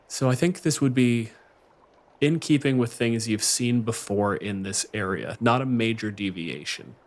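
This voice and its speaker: A man's voice: mid-range voice, unhurried. A man speaking as game master, running a tabletop roleplaying game in conversational narration.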